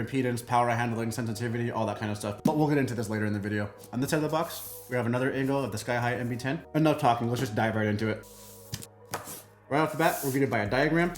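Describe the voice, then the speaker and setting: A man hosting a product unboxing in an emphatic, casual, punchy style, like a hyped channel presenter. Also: mid-range voice. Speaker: product review channel host. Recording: roomy echo, faint music behind.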